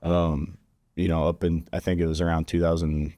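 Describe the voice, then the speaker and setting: A man's voice: low-pitched, level tone, moderate pace, mellow, dry recording, studio quality. A man from Kansas, a guest on a podcast, explaining things in an easygoing way with lots of fillers. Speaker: guest, conservation group regional director. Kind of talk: casual podcast chat. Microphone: studio podcast mic.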